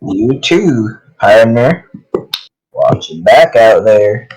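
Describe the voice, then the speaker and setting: A man voicing a swaggering, friendly cowboy gunslinger, with a loud, drawling delivery and a folksy manner. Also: lowish voice, very animated, extremely slow, breathy edge, heavily acted, rough recording. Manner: folksy twang, calls people partner.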